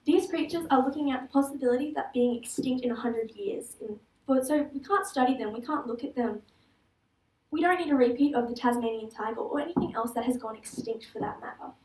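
A woman's voice: sits high, fairly quick, darkish tone. A fifteen-year-old Australian girl giving an earnest prepared stage talk, with small slips and restarts, on a large auditorium stage. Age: teenager. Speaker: student speaker. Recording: echoey hall, heard from far off.

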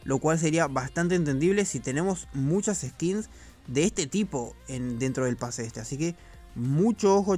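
A man talks in a slightly high voice. He comments on gaming news in a loose, slangy way and swears freely. Faint music plays behind him. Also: darkish tone. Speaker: gaming YouTuber. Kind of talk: casual video game news commentary.

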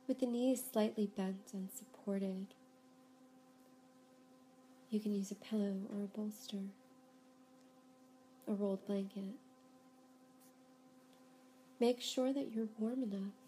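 A woman leads a guided yoga nidra session in a soft-edged, relaxed voice. She speaks calmly and soothingly, leaving unhurried pauses between instructions. A faint rumble sits behind her voice.

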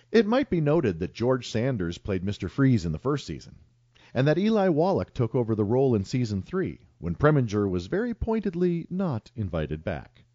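Male podcast host, wry scripted delivery, wide-swinging pitch, dry slightly muffled sound. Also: dark tone, no echo. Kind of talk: reading a prepared segment.

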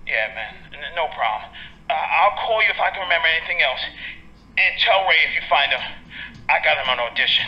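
Casting: phone-filtered bar musician played by a man, high-pitched, sarcastic, stammering, slightly dull lo-fi sound, audio drama. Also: lively intonation, a little fast. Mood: muddled, defensive. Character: laid-back, sarcastic male bar musician, muddled and defensive. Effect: telephone filter.

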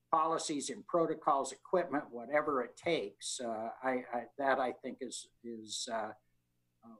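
A man who is mayor, chairing a city council meeting over a video call, speaking in a measured way with small restarts. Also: medium pitch, a bit bright, rough recording. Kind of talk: formal meeting talk.

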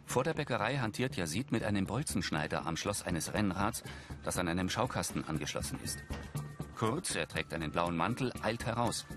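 A man with a low voice narrates screen action as audio description. His delivery is precise and factual, with a mobile intonation, and music plays clearly underneath. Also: animated, moderate pace. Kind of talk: scripted present-tense narration of screen action. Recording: film soundtrack and music underneath.

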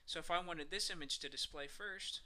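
A man's voice: fairly high pitch, a little fast, pushed and bright. A man narrating a screencast walkthrough, explaining each step in a casual, semi-scripted way. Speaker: software tutorial presenter.

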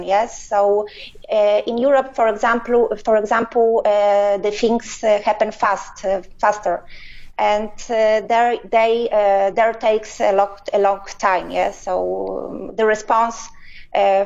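A woman, a company founder answering interview questions in halting English full of fillers and restarts. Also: mid-range voice, darkish tone, lo-fi sound.

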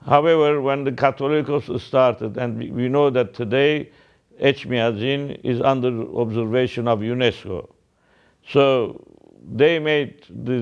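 A man gives a formal, solemn religious address as an archbishop, phrasing deliberately in short groups. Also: mid-range voice, clear, resonant tone, muffled recording. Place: indoor office, seated at a desk.

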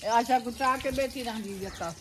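Middle-aged Punjabi woman, high voice, scolding and complaining, outdoor courtyard with clear background noise. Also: moderate pace. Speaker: mother of the household. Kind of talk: casual family chat.

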